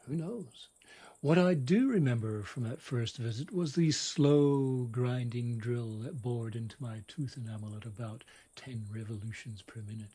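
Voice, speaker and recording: A middle-aged man with a husky voice that often drops to a whisper, telling a scripted bedtime story in a wry, dramatic, deliberate way. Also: medium pitch, warm tone.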